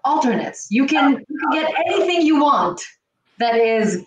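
A middle-aged woman talks emphatically and repeats her key points. Her voice sits a little high and comes from a home kitchen. She stands back from a laptop mic, so the sound is distant and echoey, with faint hiss. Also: sing-song, moderate pace. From Israel. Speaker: cooking show host. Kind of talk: casual chat on a video call.

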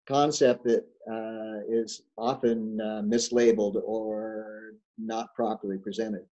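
Older male coach, unhurried and warm-toned, terse answers, muffled call audio. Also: lowish voice, steady tone. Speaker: guest sailing coach. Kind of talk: answering questions in an online seminar. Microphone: video call.

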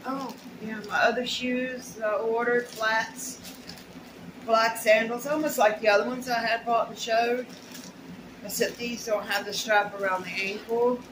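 A woman's voice: on the high side, steady tone, measured pace. Middle-aged woman, plain-spoken haul vlogger, casual rambling delivery in short bursts, roomy and noisy home recording. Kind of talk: casual unboxing chat to camera. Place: small plain indoor room at home.

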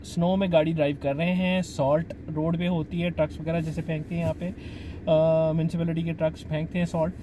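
A middle-aged man from Pakistan, chatting casually in his vlog as he explains things slowly and keeps addressing the viewer politely. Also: on the high side, flat delivery, noisy background. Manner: chatty, explains slowly, says "janab" often. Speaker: vlogger dad. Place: outdoors at a car wash and in a car.

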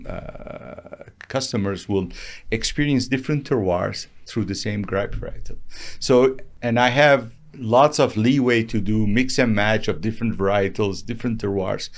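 A man's voice: on the low side, measured pace. A middle-aged man giving emphatic, self-assured answers in a conversational interview, speaking close to a studio microphone. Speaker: guest, entrepreneur and winemaker. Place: small padded podcast studio. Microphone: close studio microphone on arm, headphones.

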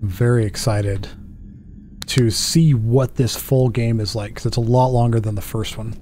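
A man's voice: mid-range voice, fairly even intonation, a little slow, warm tone, soft background music. A man in his thirties giving casual let's play commentary, thinking aloud and asking himself questions as he goes. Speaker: gaming YouTuber. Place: indoor home setup.

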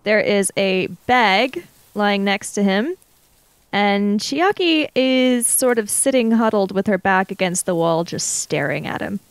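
A woman improvises narration for a tabletop role-playing game. Her voice is mid-pitched, crisp and smooth, with a dry recorded sound. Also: expressive, unhurried, no echo.

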